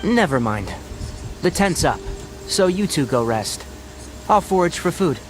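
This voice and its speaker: A man voicing a bright young forest ranger in an anime game, articulate and instructive, with a patient, wry manner. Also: a little high, sing-song, unhurried, over music. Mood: wry, reasonable. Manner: articulate, instructive, gently teasing. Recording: noisy game livestream capture.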